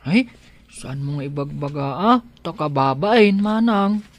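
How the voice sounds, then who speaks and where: Slow-speaking, swooping actor as a timid, devoted male servant in love, radio drama. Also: on the high side, expressive. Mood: bashful. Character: shy, devoted lovestruck male household helper. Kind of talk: Ilocano radio drama acting.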